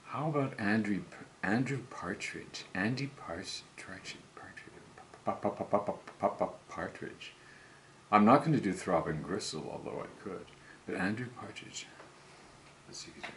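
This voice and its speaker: An older man talking slowly and self-assuredly to camera about recording cover songs, rambling and musing as he goes. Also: fairly low pitch, expressive, fairly bright tone, slight background noise. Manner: slow, musing, self-assured, breaks into song. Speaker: home singer recording covers. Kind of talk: rambling solo monologue to camera.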